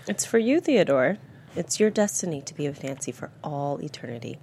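Thriller table read: actress as serenely sinister woman, deep and gravelly, measured delivery. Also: big pitch swings, a little mellow, a little hiss or hum. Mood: serene menace. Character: calm, sinister mysterious woman obsessed with destiny.

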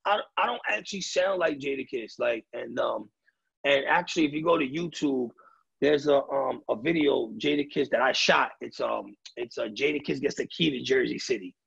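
A man with a raspy voice talking casually in an interview, loose and streetwise, full of fillers and repeated starts. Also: medium pitch, moderate pace, fairly bright tone, no room sound, lo-fi sound. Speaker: rapper and DJ interviewee.

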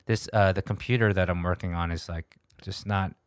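Smooth, low-voiced man. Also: fairly even intonation, normal speed, no room sound, clean studio sound. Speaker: podcast host. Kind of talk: casual chat.

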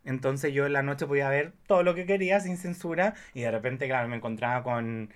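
A man's voice: medium pitch, fairly quick. A man in his thirties with a Chilean accent, chatting casually as a podcast guest in an effusive, rambling way. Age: 30s. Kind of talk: casual chat.

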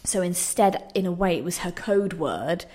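A woman with a slightly low voice talks as a playwright in a radio interview. She is earnest and reflective, explaining things in a conversational way. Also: animated, moderate pace, mellow.

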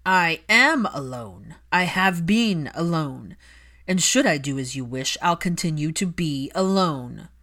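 Resentful highborn young man, performed by a female narrator, lowish register, forceful, biting and deliberate, for dramatic story reading. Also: animated, full character voice. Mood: anguished. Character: bitter adult aristocratic son, cold, angry, resentful.

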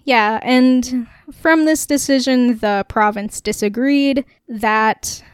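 A woman's voice: a little high, slow pace, clean tone, no room sound, clean studio sound. A woman co-hosting a casual political podcast, explaining at length with a wry, sarcastic edge and frequent laughs. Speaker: podcast co-host.

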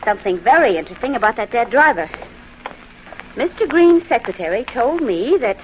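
An actress playing a perky, inquisitive young sidekick to a detective in 1940s radio style, eager and playful. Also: female voice, on the high side, big pitch swings, fairly quick. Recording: faintly noisy old-time radio recording.

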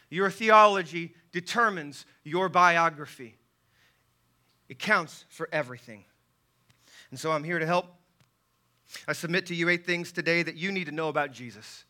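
A man preaching a sermon as a pastor, loud and emphatic, with deliberate phrasing and rhetorical repetition. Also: high-pitched. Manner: impassioned, emphatic, yelling.

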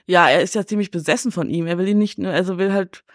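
A woman's voice: fairly low pitch, quick pace, dry recording. Chatty woman in a dry recording. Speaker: podcast guest. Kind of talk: casual film discussion.

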